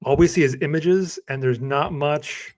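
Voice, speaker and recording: A man co-hosting a casual podcast, talking in a relaxed, rambling way full of fillers. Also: fairly high pitch, a little slow. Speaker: podcast co-host.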